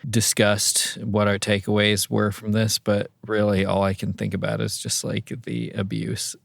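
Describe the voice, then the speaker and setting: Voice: low-pitched, monotone, a little slow, creaky, dry recording. A man co-hosting a podcast with casual, irreverent, joking banter. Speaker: podcast co-host.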